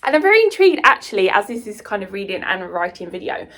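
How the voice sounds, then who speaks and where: A woman's voice: medium pitch, big pitch swings, fast, fairly bright tone. A British woman chatting casually and enthusiastically to viewers in a rambling book vlog. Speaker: book vlogger and writer.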